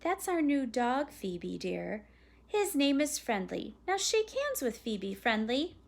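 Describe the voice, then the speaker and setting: A woman's voice: sits high, sing-song, slow pace, creaky, voice pushed up, heavily acted. A woman voicing a patient, practical mother in a storybook, kind but firm and reasonable. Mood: pleased, soothing.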